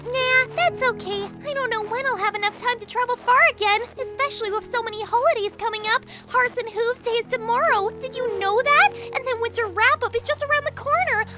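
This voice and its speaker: A woman voicing a bubbly, hyperactive pink female party pony in a fan audio drama, chattering excitedly. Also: very high voice, a little fast, hardly any pauses, music in the background.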